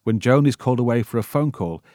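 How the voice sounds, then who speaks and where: A man's voice: mid-range voice, lively intonation, fast, warm tone, a little hiss or hum. A British man hosting a podcast, reading scripted narration as a wry, theatrical storyteller. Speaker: podcast host.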